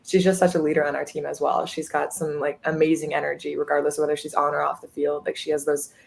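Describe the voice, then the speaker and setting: A woman's voice: sits low, flat delivery, fast, creaky, slight background noise. A woman in her twenties, a college athlete, chatting upbeat in a podcast interview with many fillers. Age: 20s. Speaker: guest, college goalkeeper.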